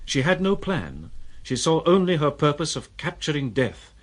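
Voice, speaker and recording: A man's voice: on the high side, normal speed. A man narrating an audio drama in a scripted, literary manner. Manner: literary, expressive.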